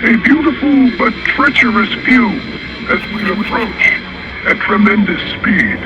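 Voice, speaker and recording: High-pitched, solemn Martian invader. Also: male voice, lively intonation, measured pace. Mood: solemn, ominous. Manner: solemn, stilted, poetic phrasing. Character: solemn alien Martian invader observing from spacecraft. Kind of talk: science-fiction radio drama monologue. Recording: dull narrow sound under loud music.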